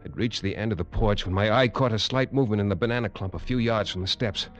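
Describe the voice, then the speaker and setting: An actor playing a tough American detective in an old radio drama, narrating in clipped, hard-boiled lines. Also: male voice, fairly low pitch, fast talker, soft background music, close to an everyday voice. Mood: tense. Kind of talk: 1950s radio drama acting. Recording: dull old radio recording.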